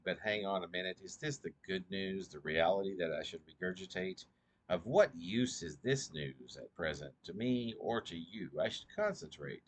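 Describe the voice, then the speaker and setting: A drowsy man reading his own poetry slowly and deliberately, stumbling and repeating phrases as he goes. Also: fairly low pitch. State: drowsy, short on sleep. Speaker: poet reading own work. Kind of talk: slow, halting poetry reading.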